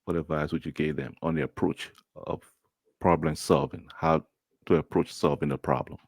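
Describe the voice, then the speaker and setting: Liberian man hosting a podcast, very deep voice, dry, chatty and easygoing. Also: fairly even intonation, normal speed, husky, no room sound. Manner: friendly, chatty, laughs easily. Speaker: host. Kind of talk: podcast interview.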